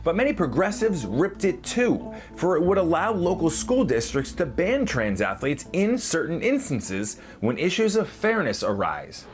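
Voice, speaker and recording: A male news reporter narrating a piece and asking interview questions in a lively, playful manner. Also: fairly high pitch, moderate pace, hardly any pauses, soft background music.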